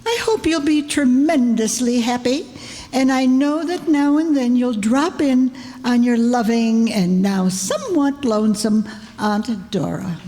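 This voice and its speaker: Woman playing an eccentric rich aunt, high-voiced, echoey hall. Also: a little slow, dark tone, natural voice. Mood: fond, scheming. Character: eccentric, wealthy, wilful older aunt, sly and affectionate. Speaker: amateur radio drama player. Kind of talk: old-time radio play acting. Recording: reverberant hall, stage performance.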